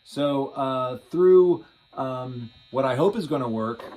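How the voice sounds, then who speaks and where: A man's voice: medium pitch, slow pace, loud music behind the voice, low-quality recording. Male hobbyist vlogger, mid-range voice, casual and hesitant, wry and self-deprecating. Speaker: hobbyist guitarist demonstrating a homemade project. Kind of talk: casual unscripted demo vlog.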